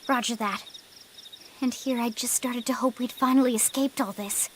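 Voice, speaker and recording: An actress playing a young, earnest squad member in a video game, speaking in an informal way. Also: female voice, a little high, steady tone, moderate pace, background noise, close to an everyday voice. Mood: puzzled, dismayed. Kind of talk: video game cutscene acting.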